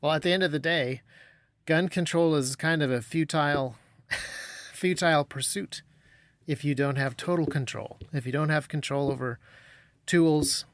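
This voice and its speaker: Quick, wry male podcast host. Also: fairly high pitch, no room sound. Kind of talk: casual conversation.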